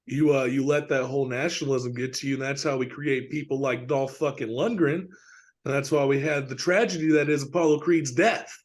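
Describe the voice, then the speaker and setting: A man co-hosting a casual film discussion, talking informally in halting phrases. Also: mid-range voice, fairly even intonation. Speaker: podcast co-host.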